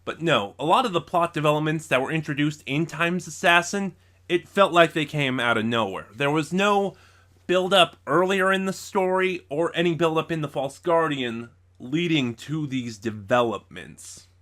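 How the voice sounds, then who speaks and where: A man's voice: a little high, normal speed, a bit bright. A man hosting a casual review video, speaking in a conversational, opinionated way straight to the audience. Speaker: video reviewer and channel host.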